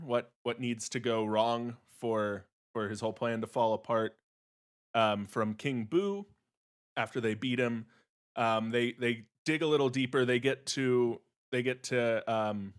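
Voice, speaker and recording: Halting man pitching a story casually. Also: a bit bright. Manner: halting, pausing to find words. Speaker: podcast panelist. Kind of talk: casual improvised movie pitch.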